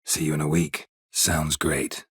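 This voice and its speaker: A world-weary video game monster hunter with an extremely low, husky voice. He speaks in terse, deadpan lines, stoic and dryly sardonic, recorded close and dry with no room around him. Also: unhurried, dry recording, heavily acted. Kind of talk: video game character dialogue.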